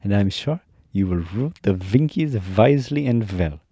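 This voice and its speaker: A gracious good witch who gives commands, played by a man who raises his voice a little and lends it a stately slowness. The warm, dark tone is recorded close and dry. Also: on the low side, lively intonation, no room sound. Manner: stately, slightly raised and slow.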